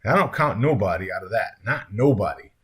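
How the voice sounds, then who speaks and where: A man's voice: fairly low pitch, animated, brisk, slightly rough. A man voicing a folksy, suspicious country woman in a story reading. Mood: distrustful.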